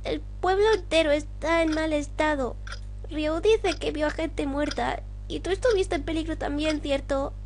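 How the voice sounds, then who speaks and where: A woman's voice: high voice, measured pace, a little hiss or hum, pitched above the performer's own voice. A woman voicing a worried, chatty young girl from a video game.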